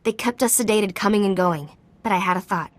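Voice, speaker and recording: An actress playing an earnest, resourceful informant in a video game, speaking in short, clipped sentences. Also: female voice, mid-range voice, fairly quick, close to an everyday voice. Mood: earnest, urgent.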